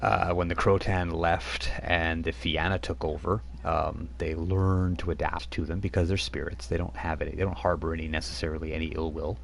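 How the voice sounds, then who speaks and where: A man's voice: low-pitched, little pitch movement, normal speed, creaky, hardly any pauses. A man running a tabletop role-playing game as game master, narrating in a rambling way with many fillers.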